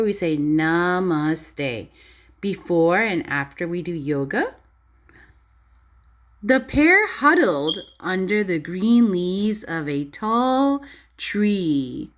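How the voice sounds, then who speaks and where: A woman teacher reading a storybook aloud to young children in an expressive, child-directed way, pausing to explain and ask questions. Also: fairly low pitch. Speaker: preschool or early primary teacher.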